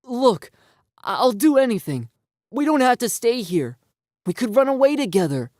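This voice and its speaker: An earnest teenage boy in an audio story, played by a man, desperately in love. His voice sits high and strains with pleading, over music. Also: big pitch swings, normal speed, darkish tone, no room sound, studio quality. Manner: earnest, blurting.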